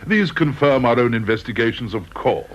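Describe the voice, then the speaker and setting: Courteous, faltering British panel official male actor, lively intonation, radio play, muffled old recording. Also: medium pitch, normal speed, natural voice. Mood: hesitant, courteous. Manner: hesitant, courteous, slightly embarrassed. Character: genial, hesitant, polite male interview panel official. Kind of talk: radio drama acting.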